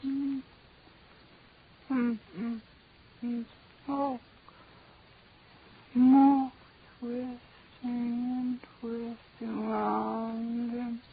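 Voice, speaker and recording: An actress playing a weak, dazed captive wife in an old radio horror drama, murmuring repetitively as if in a trance. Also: female voice, on the high side, level tone, drawn-out words, long pauses, slight background noise. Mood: dazed, entranced. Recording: muffled old radio recording.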